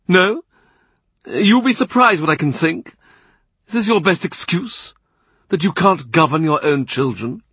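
A man voicing a husky, booming imperious cardinal. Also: animated, unhurried, long pauses, no echo, exaggerated voice. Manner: forceful, imperious, sarcastic. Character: domineering, blustering powerful male cardinal.

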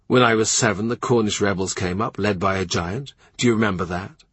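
Man reading a boastful middle-aged king, scornful, husky, dry. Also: lowish voice, brisk, fairly bright tone, dry recording. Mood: reminiscing.

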